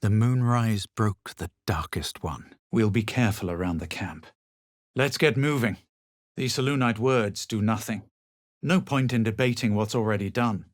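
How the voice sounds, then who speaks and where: A man playing a self-assured, haughty fantasy adventurer in a video game, speaking in a measured, composed, faintly superior way. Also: lowish voice, no room sound, studio quality, close to an everyday voice. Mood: solemn, composed. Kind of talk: video game character lines, scripted.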